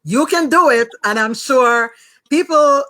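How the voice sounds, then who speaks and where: A woman's voice: high-pitched, measured pace, sharp tone, poor audio. A woman with a Jamaican accent, speaking as the host of an online talk show.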